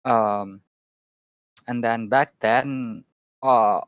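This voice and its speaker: A man in his thirties from Indonesia, a guest photographer talking casually on a podcast, relaxed and joking, with lots of fillers. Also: medium pitch, drawn-out words, clear, resonant tone, dry recording. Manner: relaxed, jokey, frequent fillers. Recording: slightly dull lo-fi audio.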